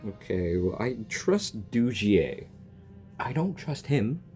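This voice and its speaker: A man's voice: fairly low pitch, very animated, slow pace, a little mellow, soft background music. A young man reacting to a video game, rambling and joking and tripping over his words. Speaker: gaming reaction youtuber. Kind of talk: casual reaction commentary.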